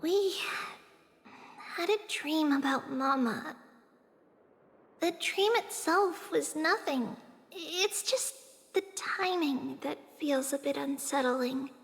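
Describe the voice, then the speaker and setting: Game role: small girl, very high and unhurried, soft, earnest, solemn. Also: gravelly, exaggerated voice. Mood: troubled. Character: small childlike girl, gentle, solemn, wise beyond her years. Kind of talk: video game voice acting. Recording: long reverb, faint music behind.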